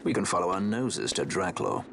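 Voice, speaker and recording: An actor playing a suave, sardonic adventurer in a video game, with wry, eloquent, theatrical phrasing. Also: male voice, deep voice, sing-song, moderate pace, creaky, noisy background, full character voice. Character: suave, sardonic male adventurer, worldly and self-assured. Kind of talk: video game cutscene acting.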